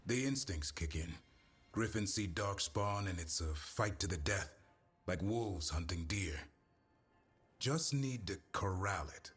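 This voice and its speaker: A man playing a gruff, stoic but caring warrior and creature keeper in a video game cutscene, terse and dryly humorous. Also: low-pitched, animated, unhurried, a bit bright, heavily acted. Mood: stern, proud. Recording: music behind.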